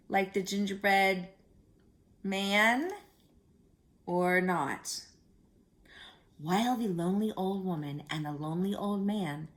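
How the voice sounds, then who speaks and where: A woman reading a children's story aloud in an expressive, drawn-out way, with chatty asides to young listeners. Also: medium pitch.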